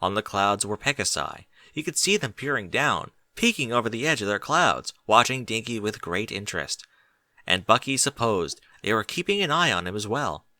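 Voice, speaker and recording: A man reading a story aloud as an expressive audiobook narrator. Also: on the low side, normal speed, no room sound.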